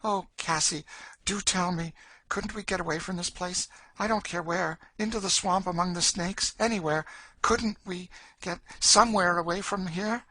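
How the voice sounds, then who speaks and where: A frightened young woman, acted by a male narrator, pleads in a very high, bright voice. She sounds naive, breathless and anxious, like a storybook character begging for help. The recording is close and dry. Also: moderate pace, no room sound, rough recording. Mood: anxious, pleading. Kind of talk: audiobook character acting.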